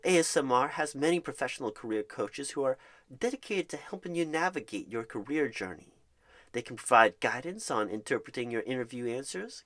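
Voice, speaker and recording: A performer as eager job recruiter, medium pitch, soothing ASMR roleplay, reassuring, wordy, salesy phone manner. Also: a bit bright, natural voice. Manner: encouraging, salesy, wordy, repeats for emphasis.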